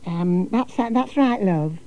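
A man voicing a friendly neighbour woman in a storybook reading, speaking softly and gently. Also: sits high, expressive, takes it slowly, voice pushed up, exaggerated voice. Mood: gentle. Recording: dull lo-fi recording.

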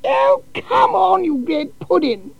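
A man's voice: very high-pitched, big pitch swings, takes it slowly, gravelly, no echo, pitched above the performer's own voice, exaggerated voice. A man voicing a prim, easily embarrassed suburban woman in a storybook reading, posh and hesitant. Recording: dull lo-fi recording.

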